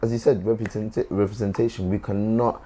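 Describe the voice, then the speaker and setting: A man's voice: on the low side, level tone, brisk, dark tone, a little hiss or hum. A man from Sudan, a comedian, chatting casually on a podcast, wandering off his point and checking in with "you know what I mean". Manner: rambling, loses his thread, keeps asking "do you know what I mean".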